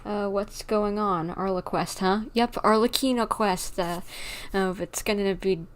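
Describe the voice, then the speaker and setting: A woman's voice: mid-range voice, steady tone, a little slow, clean tone. A young adult woman giving casual, playful, rambling commentary as she livestreams a video game. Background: faint game music.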